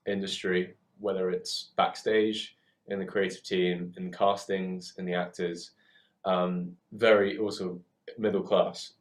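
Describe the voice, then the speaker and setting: A man's voice: low voice, a little mellow. A man in his twenties with a southern English accent, chatting reflectively in a hesitant, thoughtful way and often rephrasing himself. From UK, born in Hong Kong. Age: 20s. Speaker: theatre worker and photoshoot co-producer. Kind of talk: reflective casual chat.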